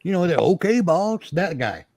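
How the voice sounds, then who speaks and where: A man's voice: a little high, sing-song, moderate pace, darkish tone, no echo, clean studio sound. A man in casual, joking chat as a panelist, wisecracking and swearing freely. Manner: wisecracking, profane. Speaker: panelist on an old-time radio listening show.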